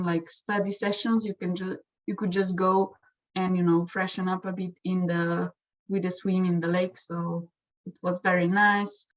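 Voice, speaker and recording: Young woman with hesitant, halting speech. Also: mid-range voice, dull audio. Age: young adult. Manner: hesitant, many restarts and fillers. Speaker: exchange student. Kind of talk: casual spoken testimonial.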